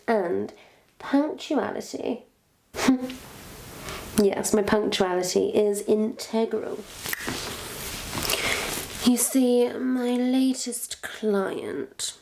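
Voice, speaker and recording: A woman's voice: mid-range voice, gravelly, darkish tone, a little hiss or hum, low-quality recording. A woman playing a wicked, theatrical witch in a suspenseful roleplay, pompous and florid, savoring each phrase as she toys with a captive. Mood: boastful, brisk. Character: wicked, theatrical female witch, smugly toying with her captive. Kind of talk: suspenseful point-of-view roleplay monologue.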